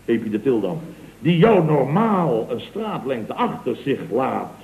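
A man's voice: mid-range voice, very animated, unhurried, creaky. An actor playing a scheming, mock-innocent contractor boss in a 1960s radio comedy, with a Netherlands Dutch accent, stammering out repeated phrases as he insinuates. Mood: sly probing. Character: wheedling, scheming male building contractor boss. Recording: muffled old radio recording, roomy sound.

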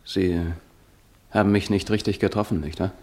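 An actor playing a wounded young fugitive in a radio play, speaking in a strained, hushed way. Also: male voice, low voice, fairly even intonation, normal speed. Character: Martin Henrizi, wounded young fugitive in pain.

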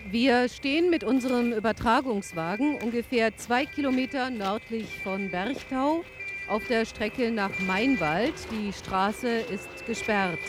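An actress playing an earnest, troubled field reporter, giving a sober live news report in a radio play. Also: female voice, fairly high pitch, a little slow, music in the background, natural voice. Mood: sober, frustrated. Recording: clear outdoor traffic noise.

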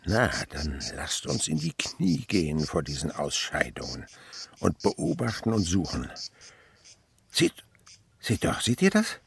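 A man's voice: low voice, wildly swooping pitch, unhurried, creaky, slightly rough, exaggerated voice. An actor playing an eccentric, curious insect researcher and father in a radio play, lecturing and musing theatrically. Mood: fascinated.

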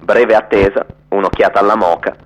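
A man's voice: on the low side, steady tone, fairly quick. A man reading literary prose as a scripted radio narration. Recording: dull, lo-fi radio sound.

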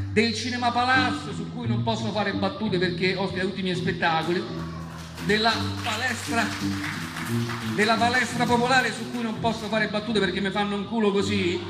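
A man with a Roman accent performing a comic stage monologue in an emphatic, colloquial way, building on repeated refrains. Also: sits high. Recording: echoey, with music behind. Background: music.

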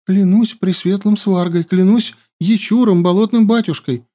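A man's voice: high-pitched, steady tone, moderate pace, warm tone, pitched above the performer's own voice. A man voicing a cunning young woman herbalist who plays the helpless captive with a whiny, pleading voice. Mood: wailing.

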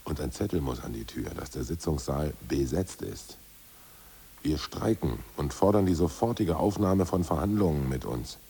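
An ousted old party boss in a radio play, plotting in a deep, gravelly voice that swings up and down. His tone is husky and dark, and he often drops to a hushed whisper. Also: expressive, normal speed, heavily acted. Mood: scheming. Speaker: ousted Politburo member. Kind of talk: 1980s radio play acting.

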